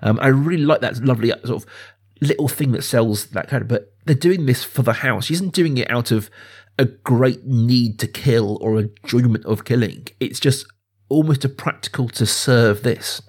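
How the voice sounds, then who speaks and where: A man's voice: mid-range voice, moderate pace, a little mellow, breathy edge. A man hosting a podcast, talking casually about film in an emphatic way, often repeating phrases for stress. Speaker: podcast host.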